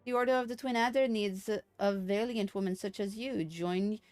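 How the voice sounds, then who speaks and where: A woman voicing a courtly, flattering military recruiting officer from a fantasy game, formal and earnest. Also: medium pitch, normal speed, no echo, natural voice. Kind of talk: video game dialogue read aloud. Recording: game music behind the voice.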